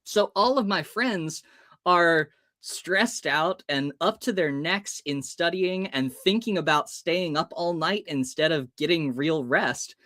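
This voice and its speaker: A man's voice: fairly high pitch, takes it slowly, pushed and bright, dry recording, studio quality. A man in his thirties from the United States, a hypnotist guest telling stories in an interview and acting out the people in them. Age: 30s. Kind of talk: storytelling in an interview.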